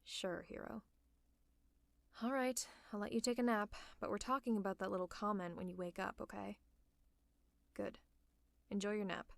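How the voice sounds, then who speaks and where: A tough female supervillain with a soft spot, acted by a woman in an ASMR roleplay. Her voice is fairly high and recorded close and dry. She talks in a conversational way, reassuring with a gently teasing edge. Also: moderate pace, pauses often, no room sound, lightly acted. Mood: soft, concerned. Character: tough, caring female supervillain tending an injured hero. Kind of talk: ASMR roleplay audio drama.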